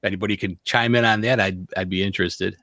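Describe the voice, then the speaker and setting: Man, host, casual panel, agreeable, low voice, dry close recording. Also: fairly even intonation, fast, pushed and bright, no echo. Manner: agreeable, frequent short affirmations. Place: studio.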